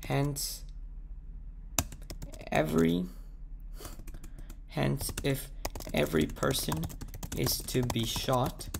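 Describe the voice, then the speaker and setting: Croaky-voiced man thinking aloud. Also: a little high, steady tone, background noise. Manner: thinks aloud, slows down while typing. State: sore throat, croaky. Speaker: math tutor. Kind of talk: thinking aloud while solving a problem. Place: at a computer, screen recording.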